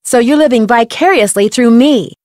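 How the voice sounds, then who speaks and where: Actress as young woman sleuth, fairly high voice, calm, friendly, curious, mystery game dialogue, very dry sound. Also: normal speed, no echo, natural voice. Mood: composed, explaining. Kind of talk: video game voice acting.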